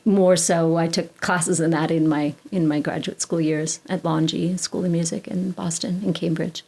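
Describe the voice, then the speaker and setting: A woman's voice: on the low side, level tone, normal speed, creaky, dark tone. A woman, a classical singer, chatting as a podcast guest in a rambling, self-correcting way with many fillers. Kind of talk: casual conversational interview.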